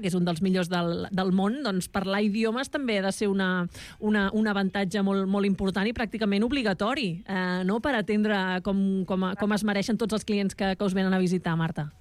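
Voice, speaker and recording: A woman radio interviewer poses long, winding questions, stopping on fillers and repeating words as she goes. Her voice sits at an ordinary pitch and sounds close and dry in the studio. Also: darkish tone, no room sound.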